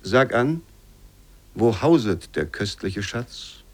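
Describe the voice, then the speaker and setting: Slow, solemn male literary reciter. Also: fairly low pitch, very animated, heavily acted. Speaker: reader of literary quotations.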